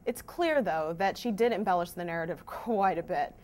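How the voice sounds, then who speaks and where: A woman's voice: fairly low pitch, moderate pace, darkish tone. A woman hosting a scripted news explainer, presenting to viewers in an emphatic, wry way. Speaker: host of a fact-checking news vidcast.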